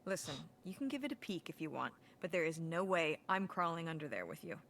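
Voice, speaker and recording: A wry middle-aged mother in a narrative video game. Her voice sits on the low side, and she is dry, deadpan and reluctant, with natural delivery. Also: a little fast, a little hiss or hum, full character voice.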